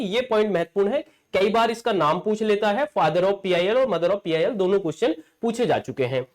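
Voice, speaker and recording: A male exam coaching teacher lecturing in an explanatory, repetitive way, posing rhetorical questions and answering them himself. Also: sits high.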